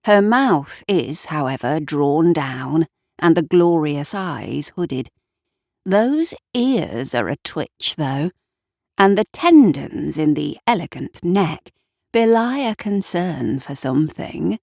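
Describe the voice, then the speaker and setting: A woman's voice: deep voice, very animated, slow, creaky, a little mellow, no room sound, studio quality. A woman narrating scripted fiction dramatically, with wry, conversational asides to the listener. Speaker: storyteller narrating audio fiction.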